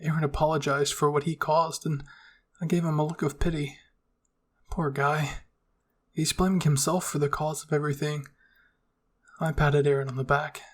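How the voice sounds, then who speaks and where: A man's voice: a little high, flat delivery, moderate pace, pauses often. A man narrating a first-person fan fiction story as an ASMR roleplay.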